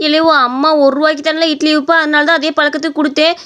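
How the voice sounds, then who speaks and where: A woman's voice: high voice, flat delivery, rapid-fire, a bit bright. A woman voicing an indignant old village granny, rustic and scolding, in a story.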